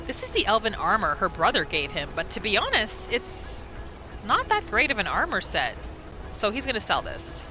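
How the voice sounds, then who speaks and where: A woman narrating her video game roleplay in an informal, expressive and reactive way. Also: mid-range voice, moderate pace. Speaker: gaming roleplay narrator and player. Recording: voice-over with game music behind.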